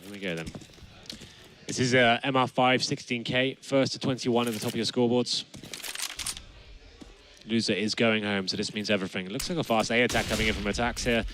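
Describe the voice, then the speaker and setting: A young man casting an esports match from a studio on a headset mic, his voice still raspy from a flu. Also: medium pitch, fairly quick, pauses often, background noise. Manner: rises to excited calls on big plays. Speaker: esports caster. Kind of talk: play-by-play commentary. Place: studio set with gaming stations.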